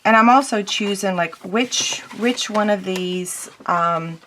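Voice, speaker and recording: Chatty crafter woman with a squeaky voice. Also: slow pace, fairly bright tone, slight background noise, rough recording. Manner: chatty, talks through each step with ums. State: squeaky voice from a cold or strain. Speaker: paper craft demonstrator. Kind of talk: casual crafting tutorial.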